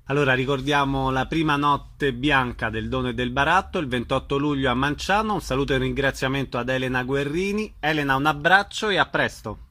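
A man's voice: medium pitch, a little fast, few pauses, sharp tone. A man hosting a live radio interview in a casual way.

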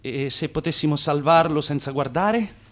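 A man in a radio play voicing an eager, smooth-talking immigrant swindler who narrates himself and makes speeches. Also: on the high side, normal speed, lightly acted. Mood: intimate, courting. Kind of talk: radio theatre acting with self-narration.

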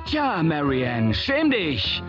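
An actor playing a teasing radio announcer introducing records. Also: male voice, on the high side, huge pitch swings, extremely slow, dull audio, over loud music, heavily acted. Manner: teasing, mocking. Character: mocking male radio announcer.

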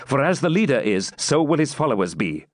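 A man's voice: mid-range voice, sing-song, fairly quick, no room sound, pitched above the performer's own voice. A man playing a wounded medieval knight, proud and impatient, with declamatory, insistent, archaic chivalric speech. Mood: commanding.

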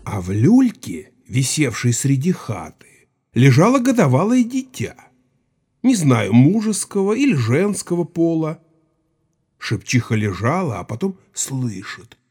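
An actor playing a gruff, gray-haired village Cossack who spins folk tales in a rambling, colloquial, self-satisfied way in an audio drama. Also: male voice, mid-range voice, a little mellow, full character voice. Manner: rambling, unhurried, colloquial, savoring the tale.

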